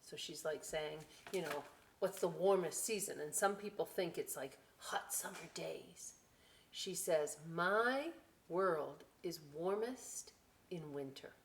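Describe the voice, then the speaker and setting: A woman reading aloud to children as a story time host, expressive and drawn out, with chatty conversational asides. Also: low voice, takes it slowly, slight background noise. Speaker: library story time reader.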